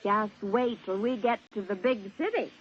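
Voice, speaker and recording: An actress playing a middle-aged criminal mother who coaxes and schemes calmly, in 1950s radio drama style. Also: medium pitch, normal speed, natural voice. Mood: calm, patient. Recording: muffled, noisy old radio broadcast.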